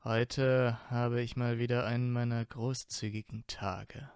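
A man's voice: medium pitch, flat delivery, unhurried, darkish tone, clean tone, no room sound, clean studio sound, natural voice. A man playing a haughty, teasing young wizard student in a fan audio drama. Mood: smug, teasing.

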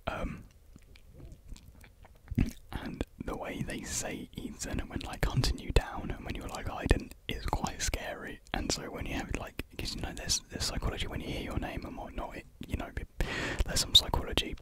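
Young british guy doing asmr, rambling casually in a breathy whisper right up on the mic. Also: normal speed. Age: young adult. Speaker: ASMR video creator. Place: small indoor room at home. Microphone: large USB desktop mic, very close.

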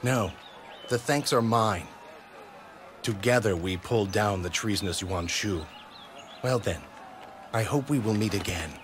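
An actor playing a courteous, dignified warlord of ancient China in a dramatic video game, speaking politely and formally. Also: male voice, fairly low pitch, unhurried, long pauses, background noise, natural voice. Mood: gracious.

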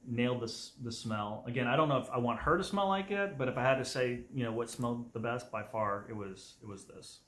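Halting man co-hosting, echoey room. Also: medium pitch, fairly bright tone. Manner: short, halting remarks with repeated words. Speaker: co-host. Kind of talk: casual review chat.

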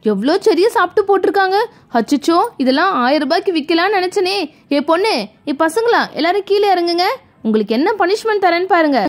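Cranky, threatening grandmother for children's toy play, extremely high-pitched, acted by a woman. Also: lively intonation, fast. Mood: angry scolding. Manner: scolding, threatening. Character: cranky scolding old granny guarding her cherry tree.

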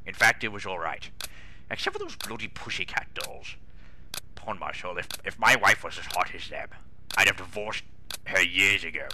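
A man's voice: low voice, sing-song, moderate pace, sharp tone, slight background noise, heavily acted. A man voicing a pompous, exasperated older railway boss with a British accent, grumbling indignantly in a comic dramatic reading.

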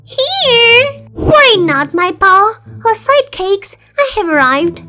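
A woman's voice: very high voice, big pitch swings, slow pace, pushed and bright, soft background music, heavily acted. A woman voicing a bratty, self-important teenage superheroine in a comic dub, whiny and haughty. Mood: boastful.

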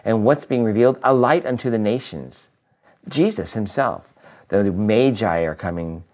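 A priest in his fifties speaks close to his camera in a home living room, his voice sitting a bit low and still slightly nasal from a lingering cold. He talks in a loose, upbeat, conversational way, with fillers and little restarts. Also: normal speed, darkish tone. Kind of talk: weekly video reflection. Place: living room with a Christmas tree, indoors.

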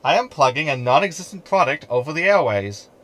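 A man's voice: a little high, sing-song, a little fast, a bit bright. A man playing a sarcastic, scheming hologram in a sci-fi comedy audio drama, wordy and mocking. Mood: defiant.

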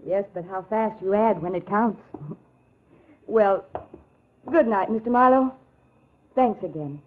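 A woman's voice: mid-range voice, slow pace, close to an everyday voice. A woman playing a grateful, earnest client in a vintage radio detective drama. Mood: grateful, relieved. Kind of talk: 1940s-50s radio drama acting. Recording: thin, hissy narrowband old radio.

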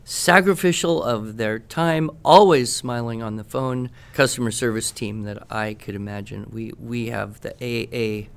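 A man's voice: medium pitch, a little slow, vocal fry, few pauses, clean tone. A middle-aged American man speaking conversationally on a podcast as a teacher and expert, with an enthusiastic, emphatic manner. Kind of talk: conversational product talk on a podcast.

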